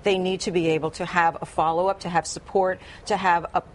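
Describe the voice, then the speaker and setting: A woman gives expert commentary as a psychologist on a news program. Her voice is a little low, and she explains at length, often repeating a phrase for emphasis. Faint noise sits behind the voice. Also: little pitch movement, brisk.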